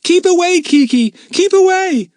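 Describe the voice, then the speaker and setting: A man voicing an excitable, warm-hearted schoolboy adventurer in an audiobook, urgent and boyish. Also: high voice, fairly quick, no echo, clean studio sound, voice pushed up. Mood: frantic.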